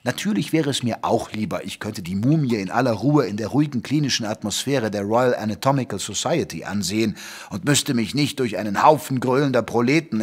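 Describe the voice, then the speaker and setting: An actor playing a gentleman physician who narrates a detective radio play in a measured, literary, wry manner. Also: fairly low pitch, breathy edge. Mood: earnest, defensive. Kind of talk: scripted audio drama narration and dialogue.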